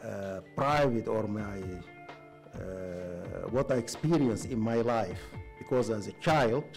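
A man's voice: on the low side, slow, gravelly. An older man from Sudan recounts his own experience at a press panel, in a storytelling way with a few fillers. He speaks into a table microphone, with faint music in the background. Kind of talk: personal anecdote at a panel.

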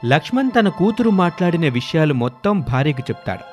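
A man's voice: fairly high pitch, very animated, a little fast. Male story narrator, very varied intonation, light music bed. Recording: music under the voice. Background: background music.

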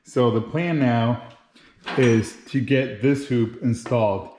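A man's voice: medium pitch, steady tone, slow, a little mellow, slight background noise. A man in his thirties giving a casual, informal step-by-step how-to commentary as he works on a build. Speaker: motorcycle builder and video host. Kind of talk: casual how-to vlog narration while working. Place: home garage with hard floor. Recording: roomy, echoing garage sound.

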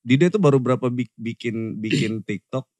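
A man's voice: medium pitch, normal speed, darkish tone, no room sound. A man hosting a podcast, asking casual questions in easygoing slang and laughing often. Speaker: podcast host. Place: small wood-panelled podcast studio. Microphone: studio mic on boom, headphones.

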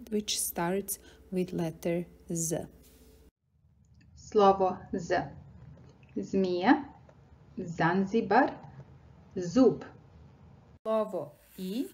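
A young woman with a Serbian accent teaching a language lesson in a deliberate, explanatory manner. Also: medium pitch, vocal fry, warm tone, breathy edge. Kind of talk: instructional lesson narration.

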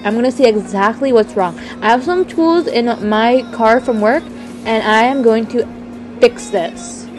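A woman's voice: fairly high pitch, unhurried, over music, natural voice, poor audio. A woman voicing a capable, assertive grown daughter who works as a doctor, in an amateur roleplay drama. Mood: brisk, helpful. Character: capable, busy grown daughter, a doctor, hurt but forgiving.

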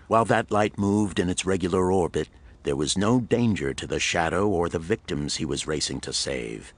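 A man's voice: deep voice, moderate pace, no room sound. A man narrating a pulp thriller audiobook in a dramatic, suspenseful storytelling manner.

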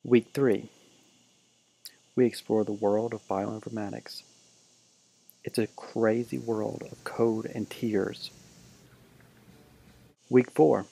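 A man giving deadpan mock-documentary narration, reading out a team roll call for a class parody film. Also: on the low side, slow, gravelly, long pauses, breathy edge, poor audio.

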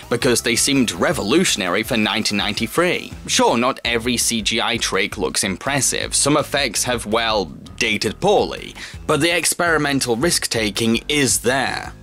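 A young man hosts a scripted review. His mid-pitched delivery is enthusiastic and emphatic, with light music behind him. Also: sharp tone. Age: young adult. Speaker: film reviewer, video host. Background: background music.

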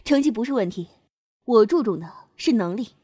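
A young woman playing a composed high school student leader in an amateur audio drama. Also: lively intonation, normal speed, mellow, breathy edge, dry recording, studio quality. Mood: composed, curious.

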